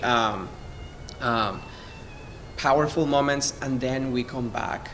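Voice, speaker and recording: A man from Venezuela, a cellist, introducing music informally in an effusive, halting way as he searches for words. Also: mid-range voice, fairly bright tone. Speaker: cellist in a string quartet. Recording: noisy, long hall reverb.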